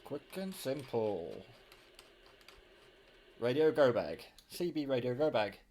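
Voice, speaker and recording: A man's voice: medium pitch, big pitch swings, takes it slowly, darkish tone. A man giving a casual hands-on show-and-tell of his hobby kit, offhand and muttering to himself as he works, recorded on a handheld camera. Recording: clear background noise, clicks of handling.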